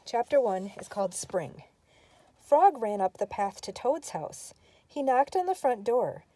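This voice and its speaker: A woman's voice: a little high, big pitch swings, measured pace, mellow, dry recording, rough recording. An older woman, a grandmother, reading a children's storybook aloud.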